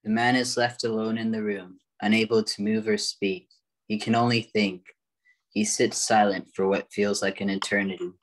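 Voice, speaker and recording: An older man with a fairly low voice acts as announcer for an amateur radio play. He reads out scripted narration, setting the scene and describing what the characters do. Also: flat delivery, moderate pace.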